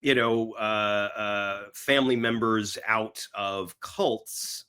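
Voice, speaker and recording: A man's voice: fairly low pitch, steady tone, slow pace, pushed and bright, clear, resonant tone. A man being interviewed, telling stories casually in a rambling way and acting out imagined bits of dialogue. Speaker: podcast guest, screenwriter.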